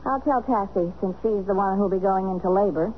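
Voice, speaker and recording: An actress playing a weary, reluctant, estranged wife in a 1970s radio drama. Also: female voice, medium pitch, fairly quick, soft background music, lightly acted. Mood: uncertain. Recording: dull old radio recording, faint noise.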